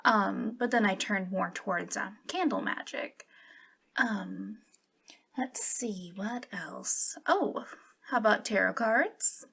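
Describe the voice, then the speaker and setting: A young woman playing a friendly, eager and slightly awkward witch shopkeeper in a softly spoken ASMR roleplay, with a hesitant, giggly way of talking. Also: medium pitch, expressive, slow, creaky, husky, exaggerated voice. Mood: chatty, awkward.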